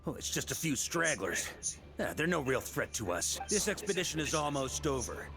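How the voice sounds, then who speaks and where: A man's voice: on the high side, big pitch swings, normal speed. A man voicing a carefree, jovial knight grandmaster in a video game, easygoing yet commanding. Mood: confident, commanding. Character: hearty, carefree knight grandmaster leading an expedition. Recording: loud game music behind.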